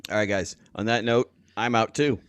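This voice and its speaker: A man's voice: lowish voice, fairly even intonation, normal speed, a crowd in the background. A man chatting casually and wisecracking with friends on a group call. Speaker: podcast cast member. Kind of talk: casual joking group chat.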